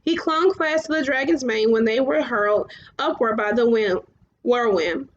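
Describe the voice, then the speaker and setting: High-voiced woman reading a storybook aloud. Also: highs cut off. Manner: halting, often stumbles and rereads phrases. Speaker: storybook reader. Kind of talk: read-aloud children's fantasy narration.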